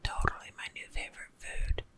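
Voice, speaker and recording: A woman's voice: fairly quick, a bit bright. A woman rambling in a chatty, close-mic whisper, talking to a friend in an ASMR video. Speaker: ASMR creator.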